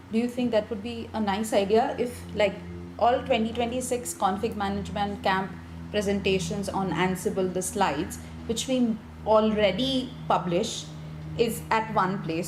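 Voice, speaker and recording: Woman leading a discussion, noisy room. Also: medium pitch. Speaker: panel discussion host. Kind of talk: community meeting discussion. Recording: noisy conference room ambience.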